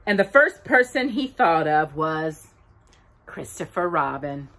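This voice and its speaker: A young woman reading a children's story aloud at home, animated and sing-song, close to the camera. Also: sits low, slow pace. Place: home room with bookshelves, indoors. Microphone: device camera close up.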